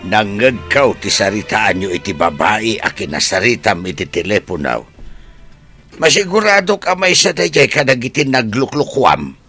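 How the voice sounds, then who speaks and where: A man's voice: on the low side, sing-song, moderate pace, bright, forceful tone, breathy edge, faint music behind, heavily acted. A man playing a stern, scolding older father in an audio drama, speaking in an admonishing manner. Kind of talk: dramatized story acting.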